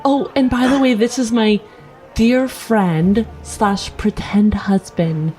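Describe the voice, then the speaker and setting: Mellow-voiced woman playing a tabletop game. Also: mid-range voice, steady tone, slow pace. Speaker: player in a tabletop roleplaying show. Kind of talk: casual improvised roleplay, narrating and speaking in character. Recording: light music under the voice.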